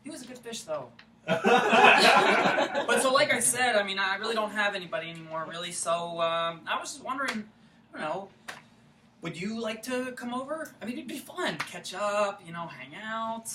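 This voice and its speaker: A man's voice: high voice, expressive, normal speed. Guy playing awkward lonely repairman in a play reading, repeats himself nervously, roomy distant sound, bit of noise. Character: lonely, eager, awkward small-town repairman, jilted ex-boyfriend. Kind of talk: staged play reading, naturalistic dialogue. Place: indoor room at a staged reading. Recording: echoey room, distant mic, faint noise.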